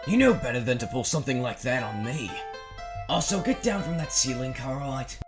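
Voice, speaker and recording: A woman's voice: a bass voice, expressive, fairly quick, music in the background. A woman playing a restless, brash, bored young troublemaker in an audio drama. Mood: smug.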